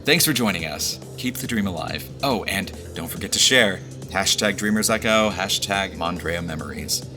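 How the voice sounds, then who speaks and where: A man's voice: low-pitched, moderate pace, few pauses, music in the background. A man speaking as a podcast's creator and host, giving a scripted message to his listeners.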